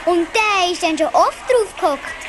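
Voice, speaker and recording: Very high, cheeky Swiss German girl. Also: animated, moderate pace. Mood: pert, chatty. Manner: pert, chatty, forthright. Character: cheeky, confident young girl on a bicycle. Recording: noisy station ambience, old cassette.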